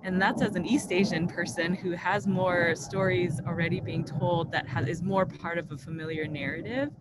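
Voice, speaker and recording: A woman's voice: fairly low pitch, steady tone, moderate pace, heavy background noise. A woman in her thirties on a video call as a panelist author, talking earnestly outdoors with many likes. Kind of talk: casual panel discussion. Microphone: webcam on video call.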